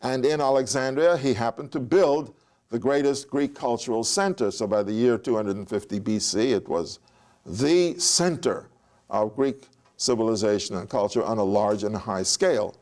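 An older man giving a recorded history lecture in front of a chalkboard, telling the story with animated gestures and frequent restarts. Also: medium pitch, moderate pace. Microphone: lapel.

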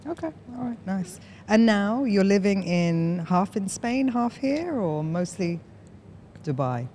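A woman hosting a podcast interview, chatty and quick to laugh, often filling with "like", on a boom-arm studio mic in an office lounge. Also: very animated, warm tone, a little hiss or hum. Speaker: podcast host. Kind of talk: conversational interview.

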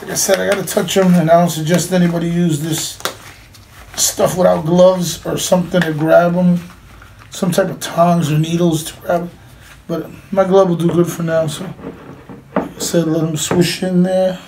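A middle-aged man with a noticeably high-pitched voice talks through a hands-on demonstration. He is informal and plain-spoken. The home recording has clear background noise, picked up by a camera across the table. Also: flat delivery, normal speed, a little mellow, low-quality recording. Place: indoors, small room with cloth backdrop.

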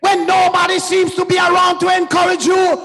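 A man preaching a fervent sermon, shouting and sliding into a half-sung cadence. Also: very high-pitched, monotone, a little fast, vocal fry. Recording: long hall reverb with faint music.

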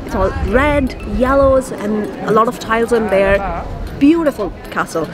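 A woman's voice: fairly high pitch, lively intonation, measured pace. A young adult woman talking casually as a travel vlogger. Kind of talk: casual travel vlog chat and narration. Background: loud background music.

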